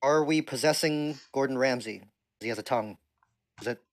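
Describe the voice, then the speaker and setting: A man's voice: mid-range voice, normal speed. Joking man at a game table. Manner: joking, storytelling. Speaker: player. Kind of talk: casual tabletop game chat.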